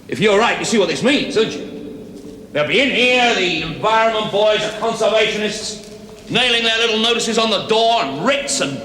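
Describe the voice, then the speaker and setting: A forceful, sharp-edged male boss, pitched high and pressing urgently. He is impatient and domineering, in the manner of seventies TV drama. His words ring around a reverberant hall over some hiss. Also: expressive, normal speed. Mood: alarmed, urgent. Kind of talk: 1970s television drama acting. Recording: echoey hall, hissy old TV sound.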